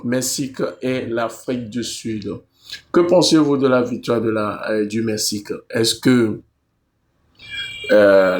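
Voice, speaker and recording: Channel host guy, talks slow, mid voice, informal, bit hesitant. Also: mid-range voice. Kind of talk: casual video outro addressed to viewers.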